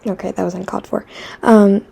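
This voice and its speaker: Chatty teenage girl from Quebec, soft husky voice. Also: medium pitch, level tone, brisk. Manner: chatty, self-deprecating, many fillers and restarts; drops into a whisper for asides. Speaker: vlogger. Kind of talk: rambling casual chat.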